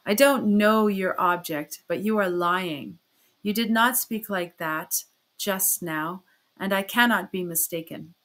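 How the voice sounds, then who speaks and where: A woman's voice: mid-range voice, takes it slowly, fairly bright tone. Woman playing a furious, imperious male suspect. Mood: accusing. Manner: imperious, emphatic, accusing. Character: furious, defiant, haughty, tormented male suspect. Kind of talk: dramatized audiobook character reading.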